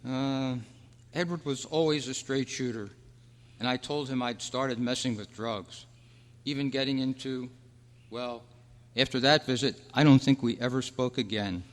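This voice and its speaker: A man's voice: medium pitch, steady tone, measured pace, close to an everyday voice. A candid, regretful young man acted by a man talks casually, with some hesitation. He is in a radio-style play, heard with long hall reverb. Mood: regretful, hesitant. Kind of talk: old-time radio style stage acting. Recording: echoey hall at a club meeting.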